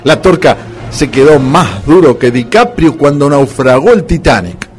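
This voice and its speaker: Argentine man, comic radio narrator, theatrical mock-serious reads with lively intonation, faint music underneath. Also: mid-range voice, a little slow. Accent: Argentine Rioplatense. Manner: mock-dramatic. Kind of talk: comic scripted radio narration with closing credits.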